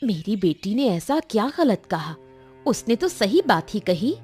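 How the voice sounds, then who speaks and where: A woman's voice: mid-range voice, big pitch swings, measured pace, darkish tone. A woman playing a loving, worried village mother in a cartoon, pleading and emotional. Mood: indignant. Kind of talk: Hindi cartoon voice acting. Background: background music.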